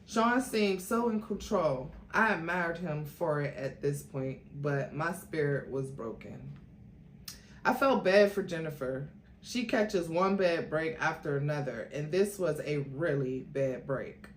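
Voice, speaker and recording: A woman host reading a book aloud as theatrical narration, with expressive asides. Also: deep voice, unhurried. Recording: echoey room, faint background noise.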